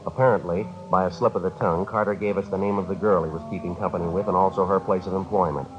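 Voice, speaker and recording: An actor playing a terse, businesslike police detective in a 1950s radio drama, with clipped, matter-of-fact delivery. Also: male voice, sits low, steady tone, quick pace, lightly acted. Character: terse, businesslike male police detective narrating his case. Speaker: lead actor and narrator. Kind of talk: 1950s radio drama acting, scripted narration. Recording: dull 1950s radio recording, music behind.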